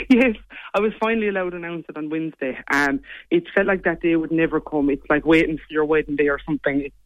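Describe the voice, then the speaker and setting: Fast-talking Irish woman, low voice. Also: dry recording, dull audio. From Ireland. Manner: quick, chatty, lots of "like" and "you know". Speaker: singer guest. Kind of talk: casual interview chat.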